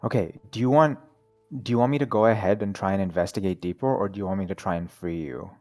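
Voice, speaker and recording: A man's voice: on the low side, fairly even intonation, quick pace, darkish tone, faint music behind. A man playing in a casual online tabletop game, talking in a laid-back, chatty way and laughing often. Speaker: player.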